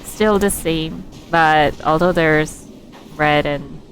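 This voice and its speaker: A woman streaming a game with casual, halting commentary, giggly and thinking aloud. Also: sits low, a little hiss or hum.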